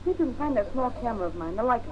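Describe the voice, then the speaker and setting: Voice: medium pitch, lively intonation, rapid-fire, lo-fi sound, a crowd in the background, close to an everyday voice. An actor playing a brash, eager newspaper photographer in a 1940s radio drama, on an old recording with people talking over it. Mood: hurried, bossy.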